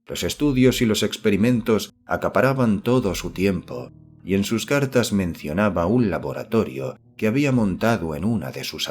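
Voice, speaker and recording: A man reading a literary horror story as an audiobook narrator, in measured, formal scripted narration. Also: low-pitched, darkish tone.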